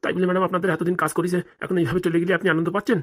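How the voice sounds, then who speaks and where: A man voicing a deferential, flattering household servant in a comic cartoon, with colloquial rustic speech. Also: high-pitched, very fast. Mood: concerned.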